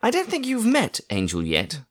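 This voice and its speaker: A man's voice: sits high, wildly swooping pitch, a bit bright, voice pushed up, exaggerated voice. A man reading an audiobook, voicing a bossy, proud middle-aged aunt with a scolding, emphatic delivery. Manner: scolding, emphatic, slow stressed words.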